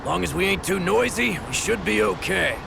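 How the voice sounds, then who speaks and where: A man playing a seasoned, easygoing sailor in a video game, with a gravelly voice and folksy, confident talk. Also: sits high, animated, moderate pace, noisy background, exaggerated voice. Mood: matter-of-fact. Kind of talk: scripted video game voice acting.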